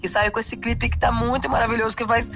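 A man's voice: very high voice, level tone, quick pace, creaky, over music. A man from Salvador speaking, a musician chatting enthusiastically about his songs as an interview guest. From Salvador, Bahia, Brazil. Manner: enthusiastic, rambling, many fillers. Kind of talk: casual interview chat.

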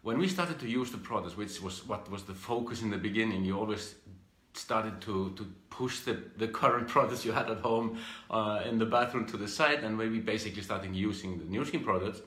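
A middle-aged Icelandic man with a fairly low, bright, forceful voice speaks informally in a roomy, echoing space. His English carries an Icelandic accent, and he moves haltingly through his recollections, often repeating a word before going on. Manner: halting, with repeated words and restarts. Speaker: speaker giving a business training talk. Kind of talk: informal live talk, personal recollections.

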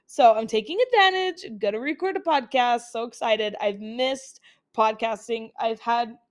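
A woman's voice: on the high side, normal speed. A young woman from Utah hosting a casual solo podcast on a laptop's built-in mic, chatty and upbeat with many fillers, her voice still recovering from colds. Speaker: podcast host.

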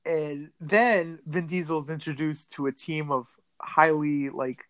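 A woman's voice: sits low, steady tone, a little slow, dry recording. A woman casually recapping a movie on a podcast, laughing often and making sarcastic asides. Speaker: podcast co-host.

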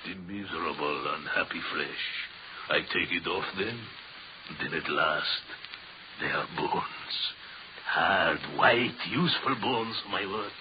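A man playing a macabre, coaxing old craftsman with a French accent in an old-time radio horror drama, his delivery theatrical and repetitive, swinging from hushed to shrill. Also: slow. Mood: excited, manic. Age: older. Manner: coaxing, repetitive, proudly muttering. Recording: muffled, noisy old radio recording.

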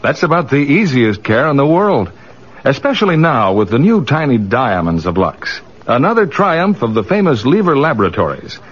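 A man's voice: medium pitch, big pitch swings, normal speed, slightly rough. A man announcing scripted advertising patter in the style of 1940s radio. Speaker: commercial announcer. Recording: muffled old radio recording.

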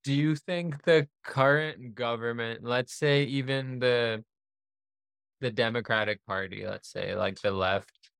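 A man from Pakistan by upbringing speaks in a smooth, clear mid-range voice with hardly any room sound. He hosts casually, wandering through asides and roundabout phrasing on the way to each question. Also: medium pitch, a little slow, fairly bright tone, no echo. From born in the US, raised in Pakistan. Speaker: podcast host. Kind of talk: casual conversational interviewing.

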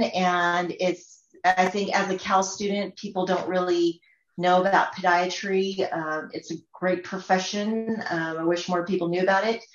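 A woman speaks casually and says um a lot. Her voice sits a bit low. The room sounds echoey and the audio is dull. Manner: casual, lots of ums. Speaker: podiatrist guest. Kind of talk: panel self-introduction.

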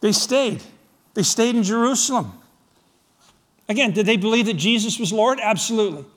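A middle-aged man from Scotland preaching a sermon to his congregation, firing rhetorical questions, swinging from loud emphasis to soft, low asides. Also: expressive, normal speed. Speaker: pastor.

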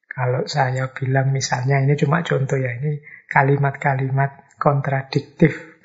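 A man from Indonesia, lecturing informally and didactically on philosophy in a conversational, question-and-answer way with emphatic swings between loud and soft. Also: mid-range voice. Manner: didactic, poses questions and answers them himself. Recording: dull, lo-fi lecture recording.

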